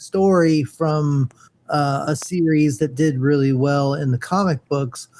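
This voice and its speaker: A man's voice: fairly high pitch, a little mellow. A man in his forties co-hosting a casual chat, speaking hesitantly with many fillers and restarts. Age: 40s. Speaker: co-host.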